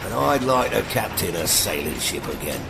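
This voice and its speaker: Gravelly tugboat skipper. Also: male voice, low-pitched, sing-song, moderate pace, noisy background, full character voice. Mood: practical. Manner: blunt, colloquial. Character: brisk, practical tugboat skipper, an old hand. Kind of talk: audio play acting.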